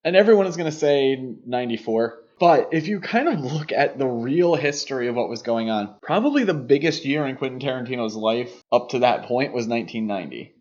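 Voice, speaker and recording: A man's voice: medium pitch, animated, a little fast, few pauses, a little mellow. A man co-hosting a podcast, talking through film history in a conversational way. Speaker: podcast host. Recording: dull, lo-fi podcast audio.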